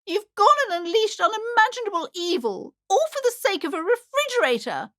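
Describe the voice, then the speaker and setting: A woman's voice: very high voice, very animated, brisk, fairly bright tone, no echo, studio quality, exaggerated voice. An actress playing an anxious, superstitious, preachy woman bookshop owner in a video game, speaking in a theatrical, fretful way. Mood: alarmed, dismayed. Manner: theatrical, fretful, swinging between gushing and alarm. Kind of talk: dramatic video game character dialogue.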